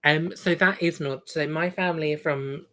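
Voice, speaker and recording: A woman's voice: low-pitched, fairly even intonation, normal speed, fairly bright tone. A woman chatting casually as a podcast co-host, giggly and teasing. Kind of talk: casual bantering chat.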